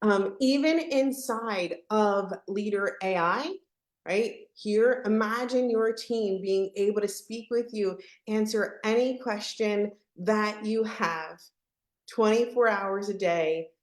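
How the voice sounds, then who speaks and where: A woman's voice: medium pitch, slow pace. A woman with a mid-range voice speaks in a noticeably echoey room. She presents like a live webinar host, upbeat and chatty. She keeps checking in with her listeners as she sells the idea. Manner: chatty, peppered with "right?" tags. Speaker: presenter hosting an online product webinar. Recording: echoey, roomy sound.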